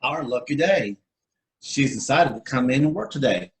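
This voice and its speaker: A man playing a stern, officious railroad depot boss in a play reading, speaking in a measured, reproving way. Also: medium pitch, animated, natural voice. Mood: disapproving. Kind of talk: one-act play table read. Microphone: video call.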